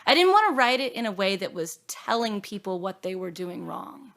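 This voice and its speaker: A woman speaking as a singer-songwriter guest in a reflective interview, earnest and halting, often trailing off or quoting her own thoughts. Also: mid-range voice, sing-song, vocal fry, a bit bright. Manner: earnest, halting, with self-quotes and trailing phrases.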